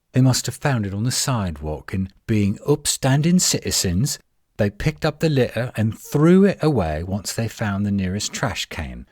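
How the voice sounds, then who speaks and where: A man playing a defensive, flirtatious tsundere werewolf in a roleplay audio drama, indignant and sarcastic with a husky voice. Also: fairly low pitch, a little mellow, no echo, clean studio sound, full character voice. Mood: flustered, defensive.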